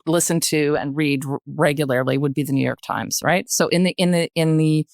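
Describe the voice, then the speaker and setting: Low-pitched older woman, emphatic and conversational. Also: steady tone, a little mellow, no room sound, studio quality. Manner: emphatic, halting repetition of phrases. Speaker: podcast guest, retired journalist. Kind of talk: conversational panel discussion.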